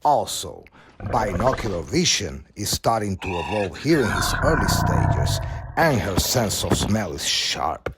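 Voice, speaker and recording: A man's voice: fairly low pitch, very animated, a little slow, very noisy. A man reading scripted narration in the style of a nature documentary.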